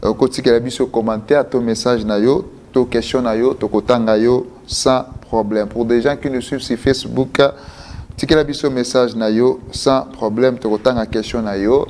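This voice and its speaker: Congolese male host, excitable, leaps in pitch, faint hum behind him. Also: moderate pace. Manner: animated, mixes French and Lingala. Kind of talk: live call-in interview.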